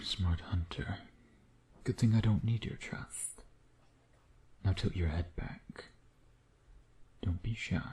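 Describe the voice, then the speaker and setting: A man acting a slow, deep-voiced seductive vampire. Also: darkish tone. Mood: commanding, teasing. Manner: slow, teasing, murmuring with pet names. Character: suave predatory male vampire, teasing and seductive. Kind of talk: intimate ASMR roleplay.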